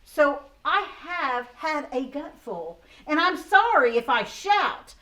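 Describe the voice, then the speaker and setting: Lady reading as a grumpy little koala guy, fed up, slow and loud, kinda high, picture book voice. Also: very animated, exaggerated voice, rough recording. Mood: indignant. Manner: indignant, slow, loud rhyming delivery. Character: grumpy male koala, fed up with being called a bear. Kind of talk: picture book read-aloud.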